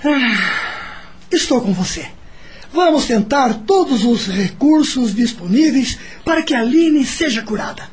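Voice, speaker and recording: A man's voice: very high-pitched, lively intonation, a little slow, slight background noise. An actor playing a supportive husband and worried father in a radio soap opera. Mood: resolved.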